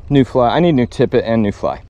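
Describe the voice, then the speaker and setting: A man in his thirties on a small boat, chatting excitedly and poking fun at himself as he fly fishes on camera. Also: medium pitch, fast talker, highs cut off. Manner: excitable, chatty, self-deprecating. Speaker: fly fishing vlogger. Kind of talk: casual on-camera fishing narration. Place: small open boat on the water outdoors. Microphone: action camera on the boat.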